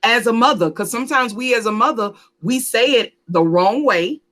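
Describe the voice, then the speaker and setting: Woman host for a church talk panel, lively, preacherly, repeats her phrases. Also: on the high side, normal speed.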